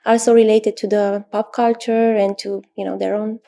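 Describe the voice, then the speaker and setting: Romanian woman, 30s, interview guest; mellow, gravelly tone, even delivery, pauses to think. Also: mid-range voice. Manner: thoughtful, many pauses and fillers, soft laughs. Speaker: astrologer guest. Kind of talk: interview answers.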